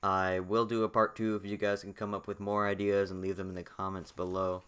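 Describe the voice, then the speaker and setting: Young man, very low pitch, voice worn out and hoarse, loose rambling delivery. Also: little pitch movement, brisk, a bit bright. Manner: rambling, repeats himself, many fillers. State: losing his voice. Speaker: gaming YouTuber. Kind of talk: casual video commentary.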